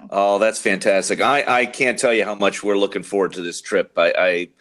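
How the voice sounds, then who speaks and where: A man's voice: lowish voice, fairly even intonation, moderate pace, fairly bright tone. A man chatting casually as an interview guest, enthusiastic and often repeating his words. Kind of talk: casual chat.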